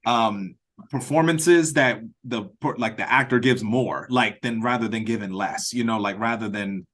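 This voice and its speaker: A man's voice: mid-range voice, normal speed, fairly bright tone. Informal, meandering male playwright giving notes. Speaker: playwright leading a read-through. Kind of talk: casual feedback chat. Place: remote meeting over a video call. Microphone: video call.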